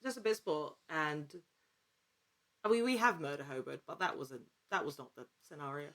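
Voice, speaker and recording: A woman speaking as a podcast guest in a casual interview, telling anecdotes in an animated, conversational way and acting out little quotes. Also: low-pitched, normal speed. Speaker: podcast guest, actor and producer in actual play.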